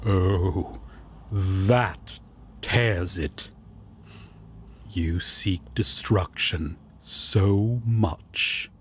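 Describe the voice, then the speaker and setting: A man playing a prim, indignant yet devoted angel roommate in a romantic audio roleplay, with a breathy, husky voice and fussy, formal diction. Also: deep voice. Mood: haughty, threatening.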